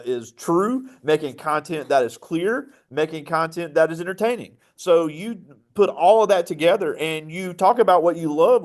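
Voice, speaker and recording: A man hosting a conversational interview with a guest, speaking as a teacher in a high school classroom.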